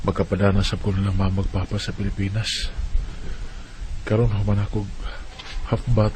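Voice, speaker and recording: A man's voice: lowish voice, little pitch movement, normal speed, darkish tone, a little hiss or hum, close to an everyday voice. An actor playing a devoted, grateful young adult son who tells his story in a Bisaya radio drama, with a Filipino Bisaya accent. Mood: wistful.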